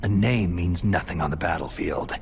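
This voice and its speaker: A hard-boiled lone soldier in a video game. The voice sits low and gruff, and the delivery is terse, stoic and emotionally guarded, as if closeness is unwelcome. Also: male voice, normal speed, a little hiss or hum. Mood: guarded, dismissive. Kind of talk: video game cutscene acting.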